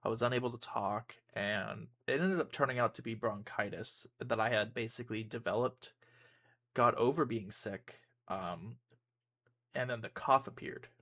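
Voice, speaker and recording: A man's voice: mid-range voice, normal speed, fairly bright tone. A young man, a YouTuber and streamer, giving a casual personal update to his viewers, rambling with frequent ums and restarts.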